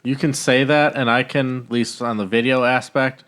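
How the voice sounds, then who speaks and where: A man's voice: medium pitch, steady tone, normal speed, fairly bright tone. A man co-hosting a podcast in casual, informal chat. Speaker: podcast co-host.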